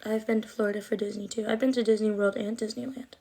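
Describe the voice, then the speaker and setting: A young woman chatting casually into her phone from home during a livestream. She rambles through answers to viewer comments, leaning on lots of fillers, and speaks with a slight lisp and trouble with her R sounds. Her pitch sits in the middle range for a woman. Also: level tone, fast talker, mellow, breathy edge. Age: 20s. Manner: rambling and chatty, many likes and ums.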